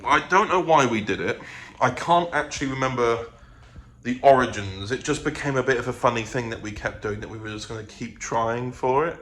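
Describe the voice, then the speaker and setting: A man talks casually to his vlog audience over audible background noise. His voice sits at an average pitch. He rambles and hesitates, feeling his way through his thoughts. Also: brisk, a bit bright. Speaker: vlogger. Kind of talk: casual chat to camera.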